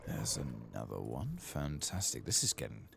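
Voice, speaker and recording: A man giving soft-spoken ASMR game commentary, murmuring and at times drifting toward a whisper. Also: very deep voice, wildly swooping pitch, moderate pace, gravelly, background noise. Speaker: gaming video host.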